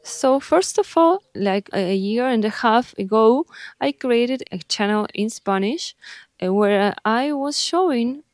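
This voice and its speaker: A woman in her thirties from Ukraine, introducing herself on her vlog in English, unhurried and thoughtful with frequent hesitations. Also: a little high, animated, dry recording. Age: 30s. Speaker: vlogger.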